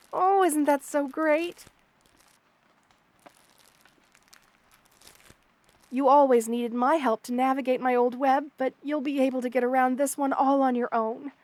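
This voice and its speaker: A woman voicing a kind, motherly spider queen in an ASMR roleplay, soft-spoken, doting and reassuring as she speaks to the listener. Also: high voice, no echo, close to an everyday voice. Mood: fond, excited. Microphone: close studio mic, dry.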